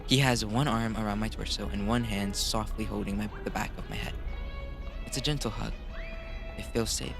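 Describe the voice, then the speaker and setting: A man with a fairly low voice hosts a game playthrough, reading aloud and commenting casually. He trips over words and corrects himself, with music playing clearly behind him. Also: fairly even intonation, moderate pace. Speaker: gaming video host.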